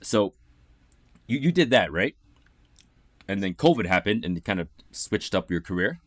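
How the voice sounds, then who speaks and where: Friendly low-voiced guy hosting a casual chat, dry sound, upbeat. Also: sing-song, normal speed, fairly bright tone, no echo. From Ontario, Canada. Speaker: interview host. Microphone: video call setup.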